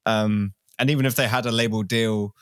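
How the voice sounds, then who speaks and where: A man's voice: fairly low pitch, fairly even intonation, normal speed, clear, resonant tone, no echo, clean studio sound. A man chatting casually as a podcast interviewer, informal and loosely phrased.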